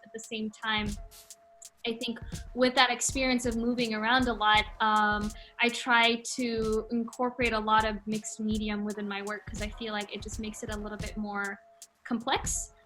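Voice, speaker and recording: A woman's voice: a little high, flat delivery, moderate pace, pushed and bright. A woman from Malaysia in her late twenties, answering interview questions about her art in a thoughtful, casual way, with many filler ums. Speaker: interviewed artist.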